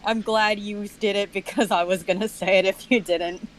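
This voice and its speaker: A woman's voice: medium pitch, steady tone, normal speed. A woman chiming in casually during a group chat. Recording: clear background noise.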